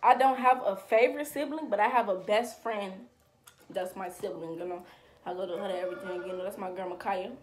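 A woman's voice: medium pitch, a little fast. An eighteen-year-old woman answering viewer questions in a casual, slangy chat, recorded on a webcam at home. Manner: chatty, slangy, animated with hand gestures. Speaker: vlogger. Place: small room at home, indoors.

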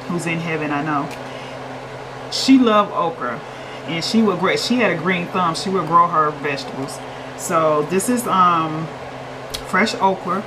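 A woman's voice: on the low side, noisy background. A woman in her forties chatting casually while she cooks in a home kitchen, rambling with fillers and restarts. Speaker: cooking vlogger. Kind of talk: casual cooking chat.

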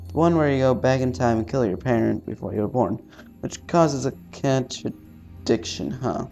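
A man playing a visual novel, reading its text aloud haltingly with casual, sweary commentary. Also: medium pitch, vocal fry, dark tone. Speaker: let's-player. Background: faint game music.

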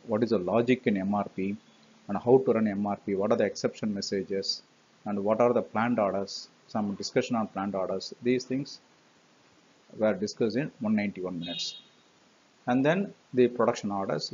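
A middle-aged man with an Indian English accent walks through a training course in a plain, methodical way, correcting himself now and then. His voice is low-pitched and a bit husky. The recording sounds dull and lo-fi. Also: darkish tone. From Tamil Nadu, India. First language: Tamil. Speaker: SAP trainer. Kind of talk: course overview lecture.

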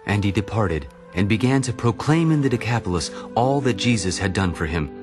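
A man narrating a dramatized audio Bible in a solemn, deliberate storytelling manner. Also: fairly low pitch, lively intonation, darkish tone, soft background music.

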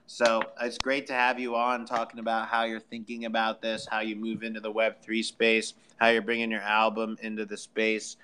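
A man's voice: on the low side, monotone, moderate pace, hardly any pauses, sharp tone, smooth. Slangy hype podcast host, man. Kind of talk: casual live audio chat. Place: live online audio room, voice only.

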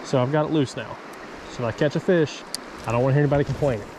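Young male outdoor vlogger, average pitch, laid-back slangy chat, noticeable water noise on the recording. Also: racing. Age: young adult. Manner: informal, slangy bro talk. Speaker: fishing vlogger. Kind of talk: casual vlog chat to camera. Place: outdoors beside a creek.

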